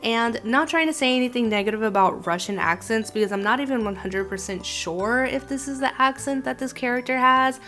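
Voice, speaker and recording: A woman's voice: fairly high pitch, fairly quick, hardly any pauses, pushed and bright. Woman doing storytelling commentary over music. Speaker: host reviewing an animated horror film. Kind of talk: scripted video commentary, storytelling. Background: background music.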